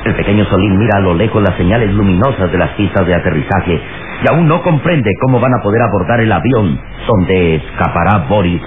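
A man's voice: deep voice, lively intonation, a little fast, background noise. A man narrating a radio serial in a dramatic, scripted style. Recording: tinny phone-like old radio recording, loud music.

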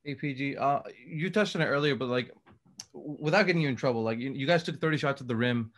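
A man asking questions as a reporter at a postgame press conference, talking quickly and peppering it with "like". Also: medium pitch. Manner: rapid, peppered with "like".

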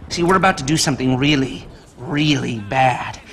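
An actor playing a sardonic, nerdy middle-aged criminal planner in a video game, dry and wordy. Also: male voice, medium pitch, moderate pace, fairly bright tone, natural voice. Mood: dry, matter-of-fact. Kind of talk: cinematic video game acting.

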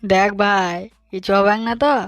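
A man's voice: high voice, fairly even intonation, moderate pace, a bit bright, no echo, soft background music, pitched below the performer's own voice. A man voicing a gullible, anxious young man in a comic cartoon, pleading and eager, with a rural West Bengal accent.

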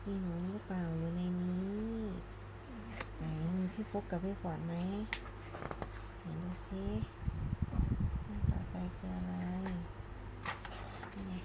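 A woman, a mother doing picture-book reading and gentle baby talk, coaxing with simple repeated questions. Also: lowish voice, steady tone, slow, vocal fry, long pauses. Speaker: mother reading a bedtime story to her baby. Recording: noisy home recording.

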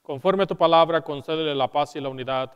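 Male priest, higher-set smooth voice, solemn measured prayer delivery. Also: dull audio. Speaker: priest celebrating Mass. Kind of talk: liturgical prayer recitation. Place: large church interior, nearly empty. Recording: reverberant church hall.

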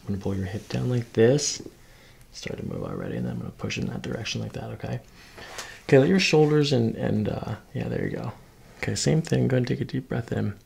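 A man's voice: medium pitch, sing-song, fairly quick, vocal fry, a little mellow. A man in his thirties working as a chiropractor, talking a patient through a hands-on exam with instructions and short check-in questions. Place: small carpeted clinic treatment room. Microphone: microphone on a boom arm near the table.

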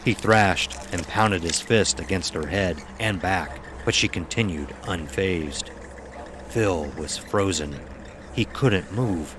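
A man's voice: low voice, slow, noisy background. A man narrating a scripted horror audio drama as its storyteller.

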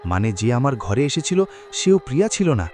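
Man playing an animated, anxious young man. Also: medium pitch, quick pace, slight background noise, lightly acted. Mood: shocked. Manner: pleading, dramatic. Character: bewildered, anxious young man haunted by lost love.

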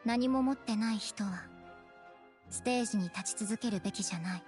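A woman voicing a composed young magician's assistant in an anime game, with a deadpan, measured and quietly pointed delivery. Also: mid-range voice, natural voice. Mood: solemn. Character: cool, composed young cat-eared magician's assistant girl. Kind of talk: Japanese anime game voice acting. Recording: faint music behind the voice.

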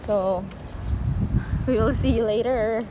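A woman with a Filipino accent chats casually for a vlog in a fairly high voice. She takes her time, slipping between languages, and is recorded on a handheld phone with clear everyday noise around her. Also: slow pace. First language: Filipino (Tagalog or Visayan). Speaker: vlogger. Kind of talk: casual walking vlog chat, code-switching. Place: outdoors on city streets and in a busy mall food court. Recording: noisy outdoor street and mall ambience.

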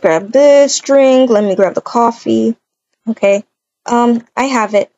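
Casting: woman, higher-pitched, mellow voice, improvised game roleplay, talks through each action. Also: slow, highs cut off. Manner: chatty, narrates own actions aloud. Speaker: roleplay video creator.

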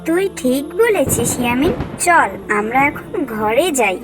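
A woman's voice: sits high, lively intonation, measured pace, loud music behind the voice. A woman acting a young girl in a cartoon, a caring elder sister with an earnest, concerned manner. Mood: agreeing.

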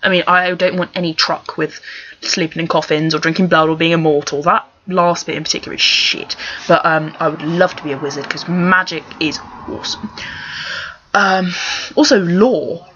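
A woman's voice: lowish voice, steady tone, normal speed, a bit bright, a little hiss or hum. A young woman with a posh English Home Counties accent from Surrey, chatting casually in a wry, rambling, sweary way at her webcam. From Surrey, England. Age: young adult. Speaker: vlogger. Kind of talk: casual chat answering accent challenge questions. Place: small bedroom, indoors. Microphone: laptop webcam at desk distance. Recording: dull lo-fi webcam sound.

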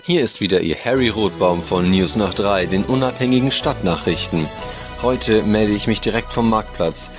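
An officious, tactless local radio reporter acted by a man in an audio drama. His voice is fairly low, with music playing behind it. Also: lightly acted.

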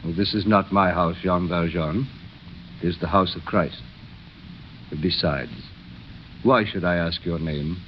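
Kindly elderly clergyman, lower register, measured and courteous, old radio drama with light noise. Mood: calm, gracious. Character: kindly, serene, unhurried elderly priest. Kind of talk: 1930s radio drama acting. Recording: dull, noisy 1930s radio recording.

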